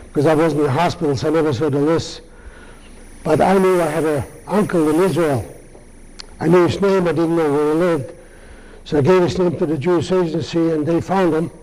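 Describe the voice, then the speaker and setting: Older man, halting speech, mellow tone, fairly high voice. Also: low-quality recording. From Lithuania. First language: Yiddish. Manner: halting, many pauses and restarts. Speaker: Holocaust survivor speaker. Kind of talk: answering audience questions.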